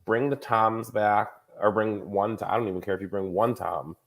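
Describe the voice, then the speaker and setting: Low-voiced man, chatty podcast host. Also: fairly even intonation, moderate pace, fairly bright tone. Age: 30s. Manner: chatty, lots of filler words. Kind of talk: casual chat.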